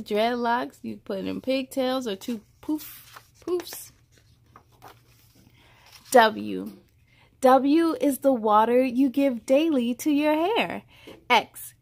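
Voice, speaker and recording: A woman's voice: a little high, creaky. A woman reading a children's picture book aloud, with encouraging, affirming casual comments addressed straight to young listeners.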